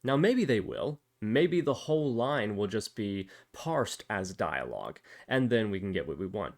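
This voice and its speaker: Man narrating a tutorial with lively, swooping pitch. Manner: explanatory, thinking aloud while demonstrating. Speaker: programming tutorial presenter. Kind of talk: casual step-by-step software tutorial narration.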